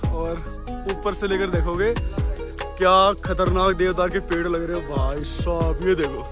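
Excited, high-voiced man vlogging from a car. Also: a little slow. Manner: excited, chatty, calls viewers brother. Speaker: travel vlogger. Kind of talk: casual travel vlog narration while driving. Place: inside a car on mountain roads. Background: background music.

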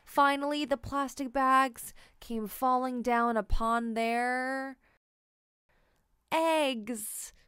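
Slow, clear woman reading a children's storybook. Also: high-pitched, steady tone, fairly bright tone, no echo, clean studio sound. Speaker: storybook reader. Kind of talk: scripted children's read-aloud narration.

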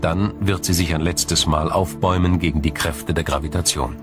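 A man reading scripted science documentary narration in a measured, dramatic storytelling manner. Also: low voice, fairly even intonation. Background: music.

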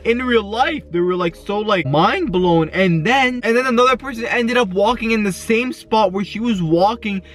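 A man in his twenties filming an excited vlog from his parked car, talking fast and exclaiming often. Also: high voice. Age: 20s. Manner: hyped, exclamatory, lots of yo and oh my god. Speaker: YouTuber. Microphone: camera facing him in car.

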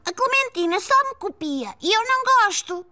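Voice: very high voice, big pitch swings, moderate pace, bright, forceful tone, exaggerated voice. A performer voicing a naive four-year-old boy with a childlike European Portuguese voice in a children's cartoon. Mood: annoyed. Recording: music behind the voice.

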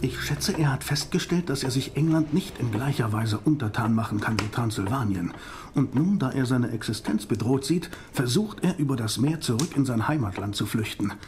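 Audio drama: actor as an authoritative male vampire hunter, medium register, solemn and pressing, over clear music. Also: mid-range voice, moderate pace, lightly acted. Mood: grave, determined. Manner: grave, urgent, commanding. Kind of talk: German audio drama acting.

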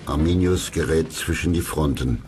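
A man reading scripted documentary narration in a measured, dramatic storytelling way. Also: extremely low pitch, darkish tone, a little hiss or hum. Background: music behind the voice.

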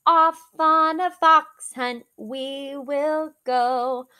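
A young woman reading a children's picture book aloud in an animated, drawn-out, singsong way, like a teacher talking to small children. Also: sits high, faint music behind. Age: young adult. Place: small living room, seated in an armchair indoors.

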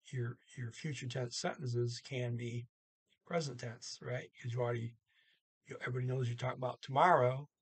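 An older man in his sixties teaches a language in an informal way. His voice is husky and he moves slowly, setting words apart with deliberate pauses. His pitch sits in the middle for a man. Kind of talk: informal Norwegian lesson, word-by-word glossing. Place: small room indoors at home. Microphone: webcam or computer mic at arm's length.

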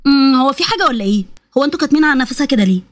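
A woman's voice: high voice, lively intonation, rapid-fire. A woman voicing a friendly, reassuring woman doctor with an Egyptian accent. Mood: puzzled. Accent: Egyptian Arabic.

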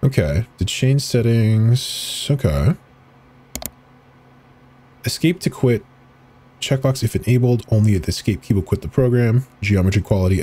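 A man's voice: on the low side, mellow, breathy edge. A man reading web pages and installer text aloud for a horror video, adding short casual asides as he clicks through. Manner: reads on-screen text aloud, with brief asides. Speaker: horror game video narrator. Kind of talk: dramatic reading of on-screen text.

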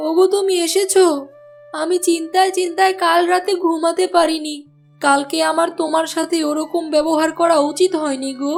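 A woman's voice: very high-pitched, little pitch movement, normal speed, vocal fry, soft background music. A woman voicing a worried, devoted young village wife in a cartoon. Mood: remorseful.

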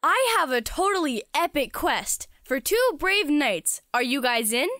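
A woman's voice: sits high, very animated, unhurried, pushed and bright, no room sound, studio quality, heavily acted. A woman voicing a bubbly, dramatic young princess who gushes perkily in a children's comic read-aloud. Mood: breezy. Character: bubbly, dramatic girl princess sending knights on quests.

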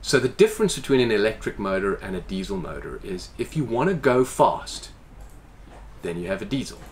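A man's voice: fairly low pitch, fairly quick. A man in his forties, a boat owner, explaining casually in an interview inside a boat's salon, gesturing as he talks. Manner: animated, gestures with hands while explaining.

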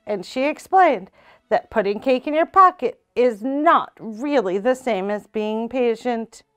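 A young woman reads a picture book aloud in a small room, taking her time over each line with expressive, unhurried delivery. Her voice sits slightly high for a woman. Faint music plays softly behind her. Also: clear, resonant tone. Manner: slow, expressive read-aloud with character voices. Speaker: storytime reader.

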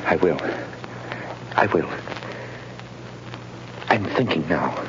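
Heavy noise and a muffled tone mark this old radio drama voice. a despairing condemned prisoner, bitter and bewildered. His halting, emotional speech moves slowly and rises and falls widely. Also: low voice, expressive, heavily acted. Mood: anxious, straining. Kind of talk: 1930s radio acting.

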